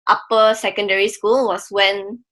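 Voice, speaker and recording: A woman's voice: mid-range voice, little pitch movement, normal speed, fairly bright tone. A woman in her twenties with a Malaysian accent, chatting casually on a podcast with lots of filler words. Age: 20s. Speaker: podcast co-host.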